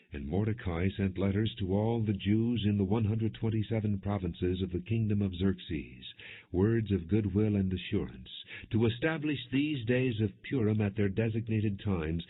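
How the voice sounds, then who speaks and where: Deep-voiced man reading scripture, tinny audio. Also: husky. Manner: measured, formal reading. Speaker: audiobook narrator. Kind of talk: scripted scripture narration, non-dramatized. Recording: muffled phone-like lo-fi audio.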